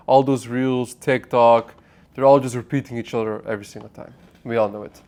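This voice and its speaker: A man's voice: mid-range voice, darkish tone. Blunt Dutch-raised man in his twenties. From Netherlands. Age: 20s. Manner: blunt, swearing, restarts mid-sentence, addresses viewer directly. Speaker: online business vlogger. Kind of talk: unscripted motivational talk to camera. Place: apartment.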